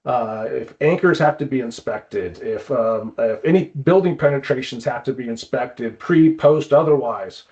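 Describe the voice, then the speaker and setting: A man's voice: mid-range voice, normal speed, few pauses, darkish tone. A man giving an informal explanatory presentation in a conversational way, on slightly dull lo-fi audio.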